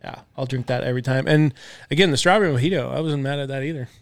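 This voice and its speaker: A man's voice: medium pitch, racing, dry recording, clean studio sound. A man hosting a casual podcast, joking around, swearing easily and asking his guest questions. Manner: jokey, laid-back, swears casually. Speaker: podcast host.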